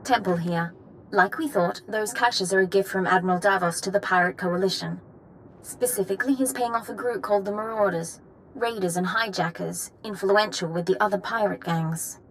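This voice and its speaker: Young female ensign for sci-fi game actress: brisk, composed, dryly wry, mid pitch, light background ambience. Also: slight background noise, close to an everyday voice, poor audio. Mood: businesslike. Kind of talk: video game voice acting.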